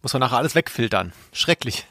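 A man's voice: medium pitch, lively intonation, fast talker, bright, forceful tone, no echo. Wry male podcaster, dry close sound. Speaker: podcast co-host. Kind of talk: casual, ironic podcast chat.

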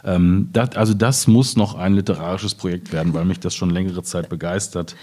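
A man's voice: sits low, steady tone, fairly quick, dark tone. A man speaking as a poet in a spontaneous literary conversation, reflective and often searching for his words. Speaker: poet in discussion.

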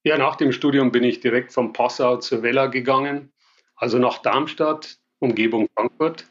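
A middle-aged man from Bavaria with a Bavarian accent, relaxed and conversational as an interview guest, with occasional hesitations. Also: mid-range voice, moderate pace, lo-fi sound. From Bavaria, Germany. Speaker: interview guest, business executive. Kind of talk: casual interview answers.

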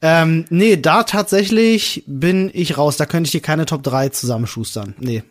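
A man's voice: fairly high pitch, a little slow. A German man co-hosting a casual podcast, thinking aloud in an agreeable, colloquial way. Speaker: podcast co-host.

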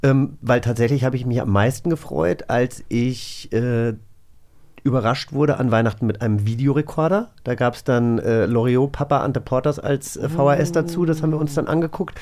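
A man hosts a podcast in a casual, colloquial tone with a medium-pitched voice. He chats and tells stories freely, as if talking with friends. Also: normal speed, darkish tone. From Germany, grew up in a village. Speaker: podcast host. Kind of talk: casual chatty interview.

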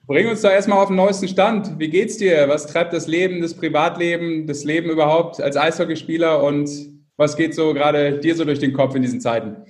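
A man's voice: a little high, steady tone, fairly quick. A man co-hosting a podcast in a casual, joking way. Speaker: podcast co-host. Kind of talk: casual chat, bantering.

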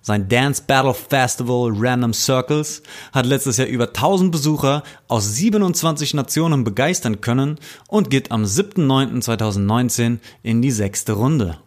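A man hosts a casual podcast interview in a mid-range voice, chatting easily between his scripted lines. His questions come with plenty of fillers and quick approving replies. A roomy echo hangs around the recording. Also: medium pitch. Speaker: podcast host.

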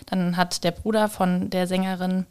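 A woman guest chatting casually on a podcast, tentative and halting, letting her sentences trail off. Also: on the low side, level tone, a little mellow, smooth. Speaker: podcast guest, communication designer. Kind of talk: casual chat.

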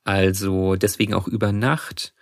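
A man's voice: low voice, level tone, a little slow, creaky, dry recording, clean studio sound. A man co-hosting a podcast, talking through a story in a chatty way with frequent restarts. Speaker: podcast co-host, presenter of the story. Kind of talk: casual podcast conversation.

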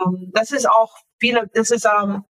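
Halting, bright-voiced woman guest. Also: a little high, steady tone, low-quality recording. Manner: halting, searching for words with many fillers. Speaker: author, interview guest. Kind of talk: casual interview talk.

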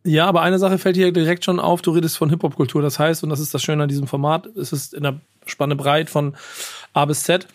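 A man's voice: a little high, flat delivery, fairly quick, dry recording, clean studio sound. A man hosting an interview format, asking questions and often searching for words. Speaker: interviewer, podcast host.

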